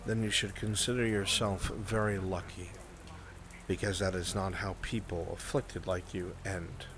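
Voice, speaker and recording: A man playing a grave mage mentor in a fantasy roleplay, speaking in solemn, measured, weighty phrases. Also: low voice, flat delivery, noisy background. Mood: stern. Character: grave male mage mentor warning of magic's dangers. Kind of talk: improvised fantasy roleplay acting. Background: rain.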